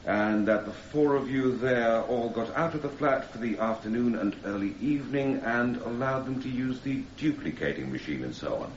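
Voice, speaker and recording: A man's voice: medium pitch, lively intonation, moderate pace, few pauses, lightly acted. A man playing a stern, sceptical prosecuting barrister, pointed and incredulous, in a radio courtroom drama. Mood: pressing. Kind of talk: radio courtroom cross-examination acting. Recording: roomy, slightly muffled, faint background noise.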